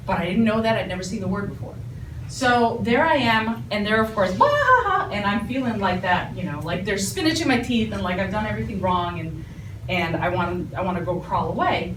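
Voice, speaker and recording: Animated woman in an echoey room. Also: mid-range voice, fairly quick, far from the mic. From former Soviet Union. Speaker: public speaking trainer. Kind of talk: storytelling talk to a group. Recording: echoey room heard from afar, noisy.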